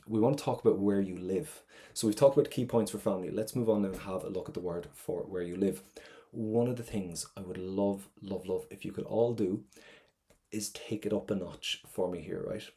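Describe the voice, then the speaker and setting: A middle-aged man with an Irish accent teaching an exam preparation lesson, energetic and encouraging, often checking in with "right?". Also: sits low. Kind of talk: exam prep masterclass lesson.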